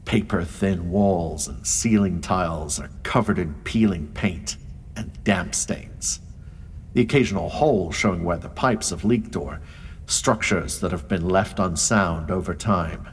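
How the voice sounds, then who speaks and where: Male, low register, jaded noir investigator, dry and sardonic, faint ambient noise behind. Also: unhurried. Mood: brooding. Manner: world-weary, dry, sardonic. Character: weary, wry male crime scene investigator narrating a noir case. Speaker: crime scene investigator and narrator. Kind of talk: noir audio drama narration and dialogue.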